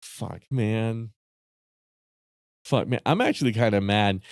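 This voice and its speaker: A man chatting casually with viewers on a live stream, rambling and swearing with lots of fillers, his voice starting to go raspy. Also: fairly low pitch, expressive, slow, no echo, clean studio sound. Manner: rambling, profane, many fillers and restarts. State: voice getting raspy.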